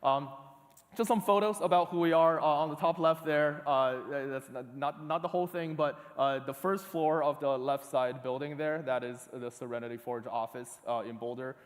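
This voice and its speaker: Man speaking in an echoing auditorium. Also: on the high side. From northeast China. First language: Chinese. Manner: halting, many uh fillers and restarts. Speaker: conference speaker. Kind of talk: conference talk with slides. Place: large auditorium. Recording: long hall reverb.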